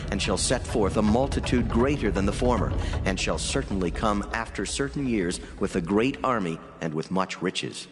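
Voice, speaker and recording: A man as a low-voiced heavenly messenger, vast reverb. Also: close to an everyday voice. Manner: solemn, measured, declamatory. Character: solemn heavenly male messenger delivering prophecy. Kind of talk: dramatized scripture reading. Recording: long hall reverb under clear music. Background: music.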